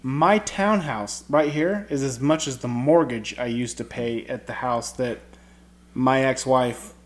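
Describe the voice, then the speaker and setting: Halting man in his forties. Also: mid-range voice. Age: 40s. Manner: halting, many fillers and restarts. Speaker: podcast co-host. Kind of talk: casual chat.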